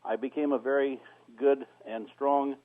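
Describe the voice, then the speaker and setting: A man of 69 calling a radio phone-in, argumentative and insistent, haltingly repeating himself. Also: medium pitch, fairly even intonation, no room sound. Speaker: radio call-in caller. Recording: tinny phone line on radio.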